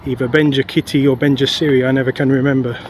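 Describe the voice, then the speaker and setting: A man talks his way along city streets and park paths, rambling and chatty, short of breath from the walk. His voice sits at an ordinary pitch. The outdoor recording has steady traffic and city noise clearly underneath. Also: fast. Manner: rambling, chatty, with frequent fillers. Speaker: travel vlogger. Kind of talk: casual walking commentary. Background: traffic and city ambience.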